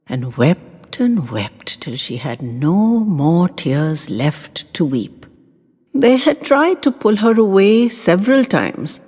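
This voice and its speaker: A woman reading sentimental short stories as a storyteller, in a soft, expressive, dramatic voice with lingering pauses. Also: on the low side, vocal fry, breathy edge. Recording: long hall reverb, music behind.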